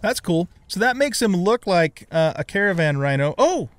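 A man's voice: high-pitched, very animated, moderate pace, dry recording. A middle-aged man giving casual let's play commentary on a video game, thinking aloud and muttering to himself.

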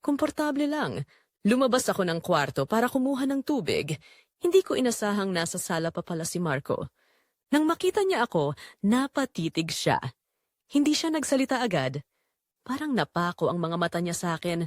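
Expressive woman narrating a dramatic story. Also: mid-range voice, moderate pace, no echo, clean studio sound. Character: middle-aged mother-in-law telling her secret story. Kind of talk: scripted first-person dramatic narration.

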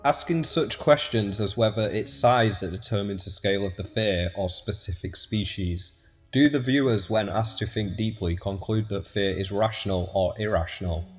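A man's voice: lowish voice, normal speed, breathy edge. A man reading scripted narration for an educational documentary. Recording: voice-over with faint music behind.

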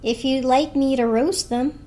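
Woman as a bright, high-voiced little toaster. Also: fairly even intonation, measured pace. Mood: bright, helpful. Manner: bright, courteous. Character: bright, polite little toaster, earnest and helpful. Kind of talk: dramatic children's storybook reading.

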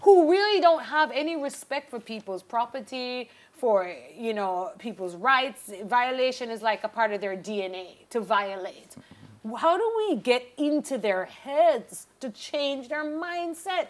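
Emphatic Jamaican woman with a higher voice. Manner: emphatic, probing. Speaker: television morning show host. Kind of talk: studio interview. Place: television studio. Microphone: lapel.

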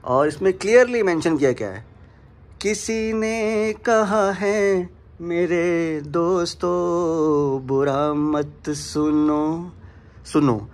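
A man's voice: fairly high pitch, extremely slow. A young man vlogging in a casual, chatty way, talking straight to his viewers, with a sore throat that leaves him a little unwell. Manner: chatty, addresses viewers directly, mixes English words in. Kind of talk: casual vlog chat to viewers. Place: home and hotel, indoors.

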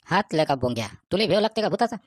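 A woman's voice: on the low side, sing-song, racing, dry recording. A woman voicing a brash, skeptical young village man with a rural Vidarbha Marathi accent in comic cartoon dialogue. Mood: scornful.

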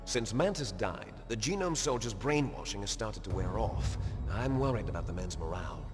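A man's voice: lowish voice, animated, moderate pace, big-room sound, music in the background, lightly acted. A man playing an arrogant terrorist leader. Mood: scheming. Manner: boastful, menacing. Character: ruthless male terrorist leader, commanding and arrogant.

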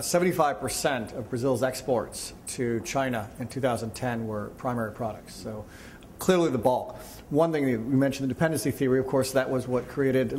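A middle-aged man moderating a panel informally, with a wry manner. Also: medium pitch. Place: indoor conference panel stage. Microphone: gooseneck table microphone. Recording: faintly noisy conference room recording.

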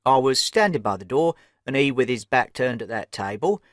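A man's voice: mid-range voice, animated, normal speed, no echo, studio quality, natural voice. A man voicing a deferential hotel day porter who answers politely and readily. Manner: polite, eager to help.

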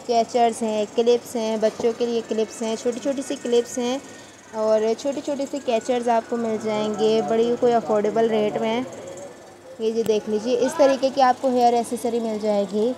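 A chatty Pakistani woman with a slightly high voice films herself touring market stalls. She talks enthusiastically and quizzes shopkeepers on prices. Clear market noise runs behind her phone recording. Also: warm tone, poor audio. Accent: Pakistani Urdu. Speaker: shopping vlogger. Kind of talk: market tour vlog. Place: busy indoor market shops.

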